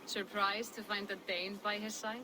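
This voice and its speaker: A woman's voice: mid-range voice, little pitch movement, moderate pace, sharp tone, slight background noise, lightly acted, rough recording. An actress playing a fierce, loving young Saxon noblewoman in a game cutscene, poised and resolute. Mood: warm, playful. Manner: poised, affectionate but resolute. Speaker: video game supporting character. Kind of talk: dramatic game cutscene acting.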